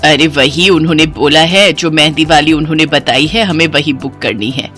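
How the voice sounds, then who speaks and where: A woman's voice: low voice, moderate pace, a bit bright, breathy edge, soft background music, voice pushed down. A woman voicing a concerned, practical middle-aged mother of a bride in a cartoon story.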